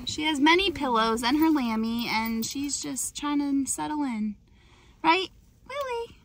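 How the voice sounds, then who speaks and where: A woman's voice: high-pitched, expressive, measured pace, fairly bright tone, slight background noise. A young woman in her twenties chatting casually with her husband inside a car at night for their travel vlog. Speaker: co-host.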